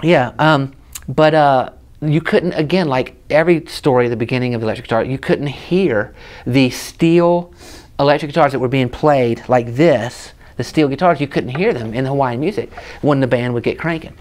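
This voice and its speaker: A man's voice: medium pitch, moderate pace, darkish tone. A man in his thirties with a Southern US accent, casually telling stories and explaining a guitar in a shop. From South Carolina, USA. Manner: chatty storytelling, explaining gear hands-on. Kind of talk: casual gear demo chat. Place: guitar shop room with hanging guitars, indoors.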